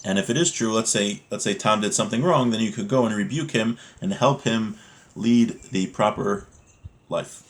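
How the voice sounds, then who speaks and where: A man's voice: on the low side, normal speed. A man giving an informal religious lesson, explaining a text in a conversational way with rhetorical check-ins as a teacher.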